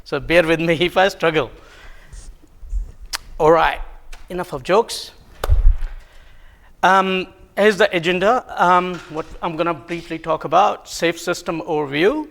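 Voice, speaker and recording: Man presenting, Hindi-native, gravelly voice. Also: fairly high pitch, fairly bright tone. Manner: halting, many ums and self-corrections, light self-deprecating humor. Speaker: conference presenter. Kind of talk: technical conference talk. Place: conference room.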